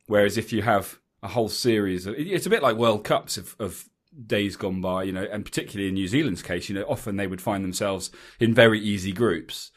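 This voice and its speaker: Low-voiced English podcast host, rambling. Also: male voice, brisk. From England. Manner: chatty, rambling with frequent restarts and ums. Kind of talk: casual chat.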